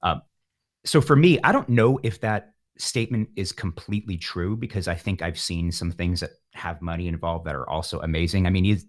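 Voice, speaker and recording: A man speaking casually as an interview guest, with an animated, emphatic and playful way of talking. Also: low voice, a little fast. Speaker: podcast guest, musician.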